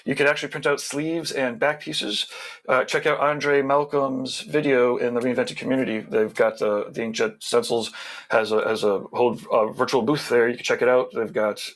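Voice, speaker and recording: A man's voice: mid-range voice, level tone, normal speed, fairly bright tone. A man on a video call who runs the show, reading out sponsor plugs in a rambling, casual way with many restarts. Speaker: show organizer.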